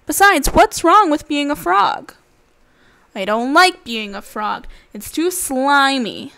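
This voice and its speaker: Woman acting a whiny, high-pitched young storybook frog. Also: expressive, takes it slowly, gravelly, bright, forceful tone, full character voice. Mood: whiny, eager. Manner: protesting, childlike questioning. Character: young frog, whiny, eager, stubbornly discontented. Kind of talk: animated children's storybook read-aloud.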